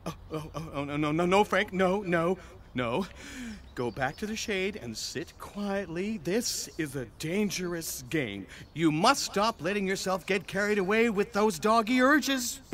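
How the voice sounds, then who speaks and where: A man playing an excitable alien disguised as a little dog, theatrical and exclamatory, in a sci-fi audio drama. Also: high-pitched, a little slow. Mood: self-scolding. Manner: theatrical, exclamatory, arguing with himself.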